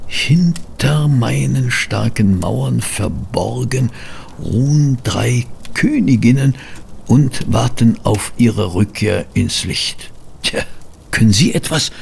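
An actor playing a sceptical detective's companion and narrator in an audio drama, expressive and given to grumbling. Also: male voice, medium pitch, takes it slowly, creaky, slight background noise, heavily acted. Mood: grumbling, puzzled. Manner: expressive, doubting, hesitant asides.

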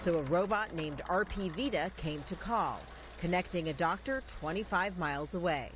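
A woman's voice: lowish voice, normal speed, lo-fi sound, slight background noise. A woman with an American accent reading a scripted television news report as a reporter.

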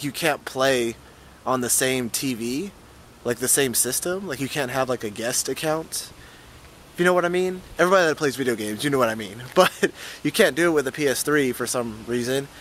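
A man's voice: mid-range voice, a bit bright, slight background noise. A young man in his twenties talks casually to a handheld camera for his daily vlog, rambling and laughing often. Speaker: vlogger. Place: outdoors in city streets and parking lots, also in a car and at home. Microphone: handheld camera at arm's length.

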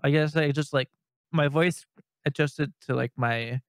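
A young man from Canada chatting as a podcast co-host, rambling with many restarts and nervous laughs, with a fairly high-sounding voice. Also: fairly even intonation, unhurried, no room sound, clean studio sound. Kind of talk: casual podcast chat.

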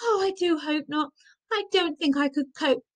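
A woman's voice: medium pitch, sing-song, unhurried, a bit bright, voice pushed up. A woman voicing a flustered, fretful father who complains and panics easily in a story. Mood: exhausted, fretful.

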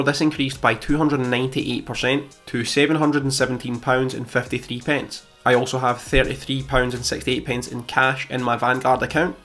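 Young British man, slightly croaky, methodical finance vlogger, faint music bed under him. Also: medium pitch. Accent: British English. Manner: steady, methodical reading of figures. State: slightly croaky voice after illness. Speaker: personal finance YouTuber. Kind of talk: scripted portfolio update to camera. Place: small home room, indoors. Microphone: studio mic in front of him.

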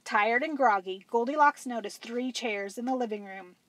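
An American woman in her forties reading a children's story aloud as a school librarian, animated and emphatic. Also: on the high side, normal speed, dry recording, low-quality recording. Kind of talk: expressive storybook read-aloud.

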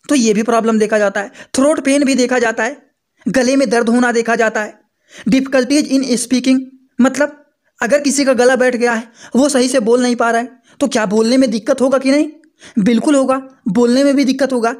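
A man's voice: very high voice, fairly even intonation, moderate pace. A young man explaining a medical topic to students in a lecture, repeating points and checking with rhetorical questions over his slides. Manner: repetitive, asks listeners rhetorical checks. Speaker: pharmacy teacher.

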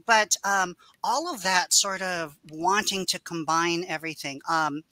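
Bright-voiced woman author on a video call. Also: fairly low pitch, measured pace, no echo. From United States. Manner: thoughtful, rambling with many fillers and restarts. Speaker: author guest. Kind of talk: casual video-call interview. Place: home room with bookshelves.

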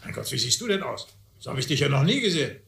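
Ed, a wry, animated guardian. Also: male voice, medium pitch, quick pace, creaky. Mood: astonished, stern. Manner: ironic, mock-stern, articulate. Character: wry, educated adult man, Ed, teasingly stern guardian. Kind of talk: German radio play dialogue. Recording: echoey, slightly noisy audio drama recording.

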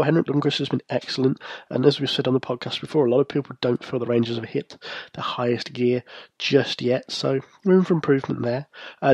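Male podcast host, croaky and congested from a cold, measured analytical delivery, dry close-miked sound. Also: medium pitch, a little mellow, no room sound. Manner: measured, analytical, occasional fillers. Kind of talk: solo hockey news commentary.

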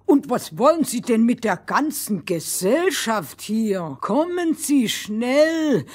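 A woman's voice: on the high side, very animated, slow, voice pushed up, full character voice. A woman voicing a fussy, urgent male village mayor in a fairy-tale audio play, formal and pressing.

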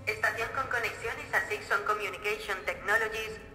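An actress voicing a calm automated station announcer in a game, polite and measured. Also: female voice, mid-range voice, echoey room, faint music behind.

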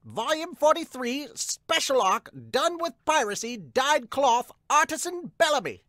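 Man as a high-pitched dramatic manga announcer. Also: lively intonation, a little slow, pushed and bright, dry recording, studio quality, heavily acted. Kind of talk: dramatic title-card announcing.

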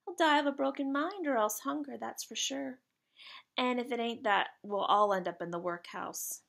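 Woman reading as a plucky, earnest storybook lad with gravelly edges. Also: on the high side, moderate pace, a bit bright, pitched above the performer's own voice. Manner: earnest, colloquial, dropped-grammar speech. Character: plucky, friendly working-class British boy, slangy storybook lad. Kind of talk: children's storybook character read aloud.

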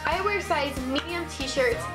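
A woman's voice: sits high, measured pace, over music. Bubbly young woman with a Southern Ohio twang. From Midwest United States. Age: young adult. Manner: bubbly, gushing, chatty with many ums and likes. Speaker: vlogger. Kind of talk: casual chat to camera. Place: small bedroom indoors. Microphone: built-in webcam mic.

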